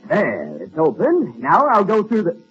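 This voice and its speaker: A nosy, wisecracking baggage clerk in an old radio comedy. His high voice swoops up and down with teasing, mocking relish. The 1940s recording is muffled and lightly noisy. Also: huge pitch swings, a bit bright, exaggerated voice. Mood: deadpan, officious. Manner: mocking, drawn-out words.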